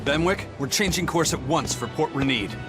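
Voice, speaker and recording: A man playing a steady, caring pirate officer in a video game, giving orders in a firm way. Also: fairly high pitch, moderate pace, music in the background, lightly acted. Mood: grave. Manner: firm, commanding.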